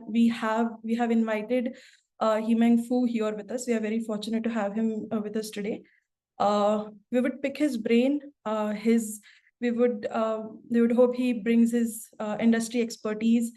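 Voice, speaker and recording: A woman's voice: a little high, dark tone. A woman hosting a work webinar, talking with frequent fillers and restarts. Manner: hesitant, many fillers and restarts. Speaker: webinar host, product manager. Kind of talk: webinar moderation.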